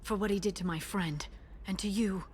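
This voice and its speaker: A woman playing a guarded, driven young alien information broker bent on revenge, in an earnest, measured and intense manner for a video game. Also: mid-range voice, fairly even intonation, darkish tone, husky, close to an everyday voice. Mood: guilty, confessional. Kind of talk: cinematic video game voice acting.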